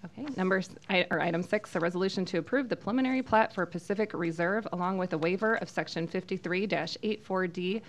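A woman city clerk with a Midwestern American accent, reading agenda items and roll calls in a brisk, matter-of-fact way at a desk mic in a council chamber. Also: on the low side. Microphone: desk microphone.

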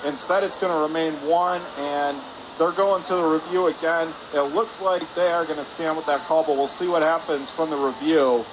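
A man's voice: on the high side, steady tone, normal speed. A man calling live hockey play-by-play for radio from an indoor rink. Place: spectator area of an indoor ice rink. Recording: noisy arena hum behind the voice.

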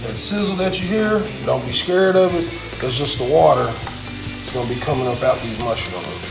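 A man's voice: mid-range voice, moderate pace, vocal fry. A man in his thirties hosting a home cooking video, talking casually and joking with viewers as he cooks. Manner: relaxed, joking, talks straight to viewers. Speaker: home cooking show host. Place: large home kitchen indoors. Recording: echoey kitchen with loud music. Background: music and sizzling pan.

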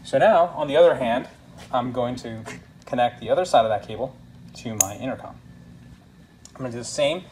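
A man's voice: medium pitch, a little fast, long pauses, a little mellow, low-quality recording. A man narrating a casual hands-on product tutorial as a demonstrator, explaining things step by step in an instructional way. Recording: clear background noise, room ambience.

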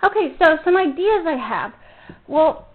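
A woman's voice: high-pitched, very animated, unhurried. A woman hosting a casual solo fan podcast, talking in a gushing, enthusiastic fangirl manner. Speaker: podcast host. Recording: muffled phone-like narrow-band sound.

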